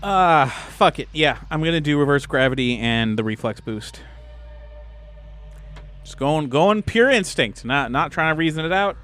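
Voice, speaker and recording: A man's voice: on the high side, lively intonation, a little slow, fairly bright tone, faint music behind. A man playing a tabletop game, chatting casually and thinking aloud, often repeating his words.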